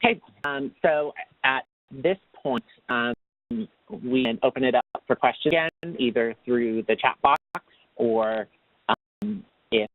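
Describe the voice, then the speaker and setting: A man presenting slides in a webinar, in a formal read-out way that turns halting off script, heard over a phone line. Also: medium pitch, dry recording. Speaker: webinar presenter, tax assistance program manager. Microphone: phone or conference line. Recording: tinny, narrow phone line.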